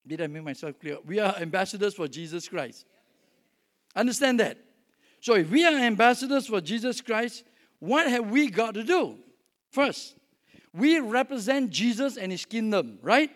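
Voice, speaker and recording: An older man with a Singaporean accent preaching a sermon in a conversational way, often putting questions to his listeners. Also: very high-pitched. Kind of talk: sermon with scripture reading and teaching.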